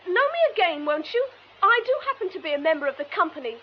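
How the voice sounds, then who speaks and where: A woman's voice: extremely high pitch, big pitch swings, quick pace. An actress playing a pert, insolent young boy actor in a radio drama. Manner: pert, challenging, mock-polite. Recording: muffled, noisy radio drama recording.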